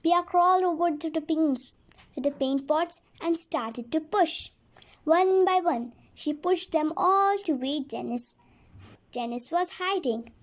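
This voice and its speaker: A woman reading a children's storybook aloud as a read-along, haltingly, repeating and correcting words as she goes. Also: sits high.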